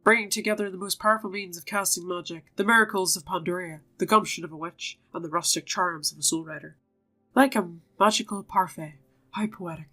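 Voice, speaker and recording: A woman's voice: on the low side, steady tone, a little fast, sharp tone. Woman doing an impish showman guy from a game, sly and flowery, music behind. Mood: smug, theatrical. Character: impish, theatrical male showman from another world.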